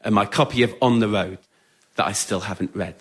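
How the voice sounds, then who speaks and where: A man's voice: fairly low pitch, brisk, a bit bright, a little hiss or hum. A man performing scripted, autobiographical comic storytelling to a live audience in a theatre. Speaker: writer-performer of a one-man spoken word show. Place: on stage in a radio theatre with a laughing audience.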